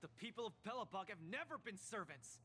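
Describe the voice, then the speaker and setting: A man's voice: high-pitched, expressive, brisk, bright, forceful tone, a little hiss or hum. A man voicing an earnest, hot-blooded and proud young fighter in a video game, eager and fervent. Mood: fired up. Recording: music behind the voice.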